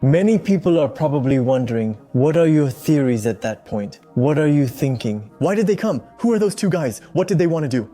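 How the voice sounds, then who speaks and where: A man's voice: on the high side, lively intonation, fairly quick, few pauses, dark tone, faint music behind. A man hosting an interview show, asking inquisitive, leading questions. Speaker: host. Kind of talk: interview host.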